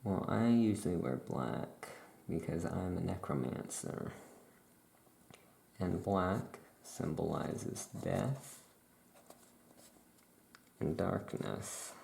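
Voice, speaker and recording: A performer voicing a chatty, musing necromancer witch shopkeeper in a soft-spoken ASMR roleplay, with writing sounds behind. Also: low voice, lively intonation, extremely slow, gravelly, pauses often, a little hiss or hum, exaggerated voice. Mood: relaxed, musing.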